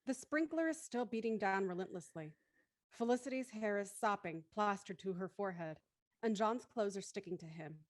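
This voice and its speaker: Woman, narrator, scripted audio thriller, medium pitch, dry close sound. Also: moderate pace, dry recording.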